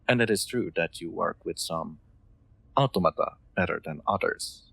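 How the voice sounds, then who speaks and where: A man playing a kind, soft-spoken master in an intimate comfort roleplay, gently reassuring with formal, slightly hesitant phrasing. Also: low voice, moderate pace, creaky, slightly rough, exaggerated voice. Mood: tender, persuasive.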